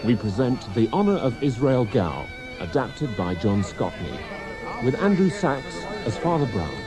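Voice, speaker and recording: A man's voice: medium pitch, big pitch swings, a little slow. A man working as a radio announcer, giving a scripted introduction to a drama.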